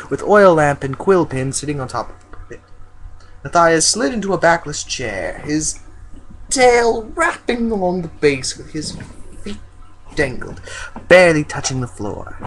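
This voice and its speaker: A man's voice: on the high side, slight background noise, poor audio. A man doing an amateur dramatic story reading in a goofy, theatrical manner. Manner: goofy, theatrical, breaks off into joking asides. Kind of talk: dramatic fan fiction reading.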